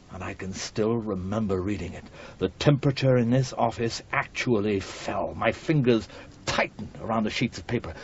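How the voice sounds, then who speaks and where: An actor in a radio drama playing a jaded, burnt-out literary editor with a wry, wordy, bitterly witty manner. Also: male voice, husky, close to an everyday voice. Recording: dull muffled recording with rumbling noise.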